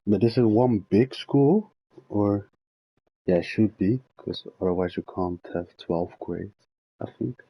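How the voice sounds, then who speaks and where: A man's voice: on the low side, unhurried, husky, dull audio. A man streaming a video game, chatting casually and thinking aloud as he plays. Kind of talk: casual chat while gaming.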